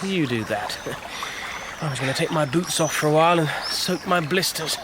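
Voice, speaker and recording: An actor playing a loyal, genial young gentleman in an audio drama, concerned and lightly teasing with his friend. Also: fairly high pitch, normal speed, noisy background, close to an everyday voice.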